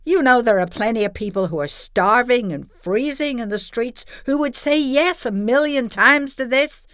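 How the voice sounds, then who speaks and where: A woman's voice: fairly high pitch, expressive, normal speed, fairly bright tone, clear, resonant tone, no echo. A woman playing a scheming, haughty female corporate villain in an audio play, pompous and theatrical in manner. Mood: indignant.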